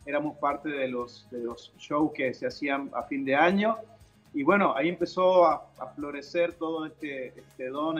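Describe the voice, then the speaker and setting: A man's voice: fairly high pitch, dull audio. A middle-aged man from Chile, answering interview questions casually as a guest on a video call. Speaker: interview guest, pastor and worship musician. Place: indoor room at home, on a video call. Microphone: video call device microphone.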